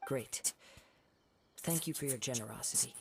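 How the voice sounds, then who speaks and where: A man voicing a calm, poetic young wandering swordsman in a video game, soft-spoken and formal. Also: mid-range voice, normal speed, vocal fry, noisy background, close to an everyday voice.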